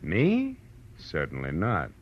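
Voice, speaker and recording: A man's voice: low voice, wildly swooping pitch, slow, slight background noise, full character voice. An American actor playing a wry, wisecracking private detective who narrates his case in an old radio noir drama. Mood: dry banter. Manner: wisecracking, clipped, sardonic. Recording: muffled old radio recording, music behind.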